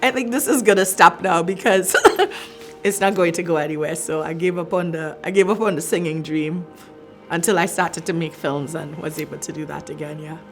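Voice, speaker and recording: Middle-aged Trinidad-born woman, low voice, unscripted reflective interview with faint music underneath. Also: normal speed. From Trinidad and Tobago. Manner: thoughtful, many fillers and restarts. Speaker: filmmaker, arts director.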